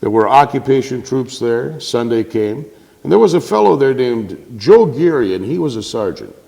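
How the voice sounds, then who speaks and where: Older man, lively mellow storyteller. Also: mid-range voice, normal speed, creaky. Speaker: veteran panelist. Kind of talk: storytelling in a panel conversation. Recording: reverberant community TV studio.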